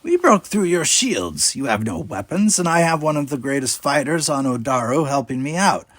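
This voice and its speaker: A man's voice: medium pitch, sing-song, moderate pace, a bit bright, no echo, pitched above the performer's own voice. A man plays a menacing female alien pirate captain from a sci-fi audiobook. She is scornful and demanding, drawing out her words in a raised, mocking voice. The sound is dry and close. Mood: smug, threatening. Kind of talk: audiobook character voice.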